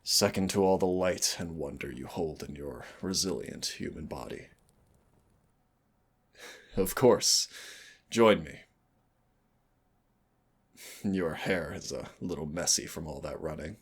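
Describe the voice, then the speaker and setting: A man playing a teasing, lovestruck winged fire demon in an intimate audio roleplay, with a deep, husky, gravelly voice. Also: slow, long pauses, exaggerated voice. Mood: shy, fond. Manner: intimate, teasing, addresses listener as darling.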